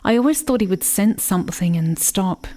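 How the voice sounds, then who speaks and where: An actress in a radio play playing a grieving, watchful country sister, narrating in a reflective, measured way. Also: female voice, medium pitch, expressive, mellow, lightly acted. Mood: wistful.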